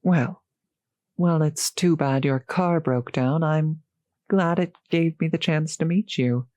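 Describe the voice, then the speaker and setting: A woman playing a courteous, gentle centuries-old vampire host in a roleplay audio drama, speaking politely and slightly formally to the listener, with soft hesitations. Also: sits low, slow pace, no echo. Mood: curious, warm. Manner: polite, softly hesitant, old-fashioned genteel phrasing.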